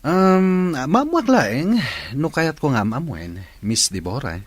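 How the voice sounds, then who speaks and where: A man playing a flirtatious, scheming ferry captain in a radio drama, with a smooth-talking, self-assured manner. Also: a little high, sing-song, slow pace, no room sound, exaggerated voice.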